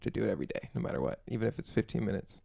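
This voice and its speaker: A young man, hoarse after being sick, chatting quickly and casually with his stream viewers from a small home music room into a mic on a stand. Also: expressive, mellow, no echo. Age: young adult. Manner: quick, low-key chatter between songs. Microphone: studio mic on a stand. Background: acoustic guitar.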